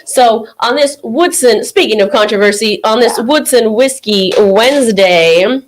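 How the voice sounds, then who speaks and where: A woman's voice: a little high, measured pace, a little hiss or hum. A young adult woman hosting a live call-in podcast, talking in upbeat, slangy banter. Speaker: podcast host. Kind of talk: live call-in show chat.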